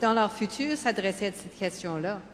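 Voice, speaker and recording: A woman speaking in a measured, formal way as a crime prevention official on a panel, with some grammar slips. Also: mid-range voice, expressive. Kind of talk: panel discussion. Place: public forum in a college hall. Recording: reverberant hall, faint room noise.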